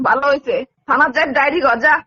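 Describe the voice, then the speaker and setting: A woman's voice: sits high, steady tone, rapid-fire, sharp tone. A middle-aged woman answering a phone call in a curt, suspicious manner, on a muffled recording. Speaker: prank call recipient. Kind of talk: casual phone conversation.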